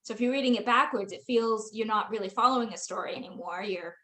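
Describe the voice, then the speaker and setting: A woman author asking questions in a casual chat about writing, agreeing often with quick yeahs and letting sentences trail off softly. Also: fairly high pitch. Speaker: author and interviewer.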